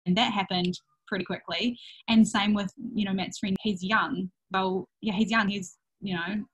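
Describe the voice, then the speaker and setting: A woman's voice: mid-range voice, steady tone, fairly bright tone, husky, rough recording. A young woman from England, talking openly and reflectively as an interview guest about family life. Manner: open, reflective, with fillers and quick asides. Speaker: interview guest, worldschooling mother. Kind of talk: casual interview answers.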